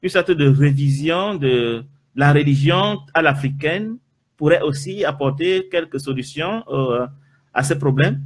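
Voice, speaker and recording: A man from Africa hosting an interview, asking measured questions and reformulating his guest's points. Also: a little high, animated, slight background noise. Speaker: interview host.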